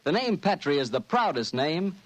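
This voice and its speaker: A man's voice: on the high side, very animated, moderate pace, dry recording. A man with an American accent announcing in 1940s radio style, with folksy, enthusiastic salesmanship. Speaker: radio announcer and sponsor's pitchman.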